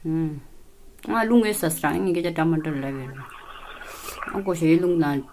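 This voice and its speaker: A woman's voice: deep voice, measured pace, noisy background. A woman chatting informally as the caller in a prank phone call.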